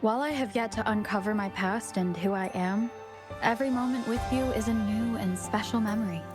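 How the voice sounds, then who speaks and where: A woman playing a sincere young woman wanderer in a video game, speaking calmly. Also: medium pitch, a little fast, music in the background, close to an everyday voice. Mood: warm, grateful. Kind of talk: video game story dialogue acting.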